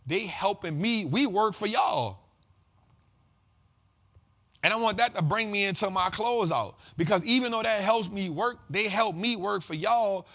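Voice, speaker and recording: A man's voice: high-pitched, lively intonation, measured pace, a bit bright. Slangy, fired-up man talking money. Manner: slangy, conversational, builds to preacher-like repetition. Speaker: host of a finance talk show. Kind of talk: casual motivational monologue.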